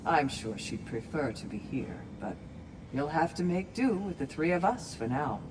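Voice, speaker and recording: Higher-voiced courteous attendant. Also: male voice, sing-song, normal speed, noisy background. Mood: polite, matter-of-fact. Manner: formal, polite, articulate. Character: courteous, composed male attendant explaining matters. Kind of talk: dramatic video game acting.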